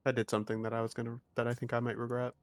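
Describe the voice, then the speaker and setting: A man's voice: medium pitch, monotone, racing. A man joking with gaming friends in a playful, teasing way. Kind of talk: casual gaming chat with friends.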